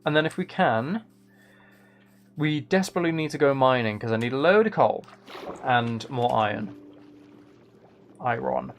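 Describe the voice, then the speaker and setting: A man with a mid-pitched voice talks casually as he streams a game. He rambles a little, hesitating and questioning his own thoughts. Background noise is clearly audible, along with typing and clicking. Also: animated, normal speed, pauses often. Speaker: livestream host. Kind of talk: casual gaming livestream chat.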